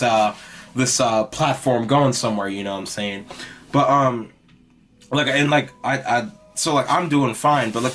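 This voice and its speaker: A young man with a raspy voice talks in a casual, wandering monologue as host of his own podcast. His delivery stays mostly monotone, with his pitch sitting in the middle of a man's range. He fills his sentences with "like" and "you know" as he drifts between thoughts. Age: young adult. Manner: rambling, heavy use of "like" and "you know".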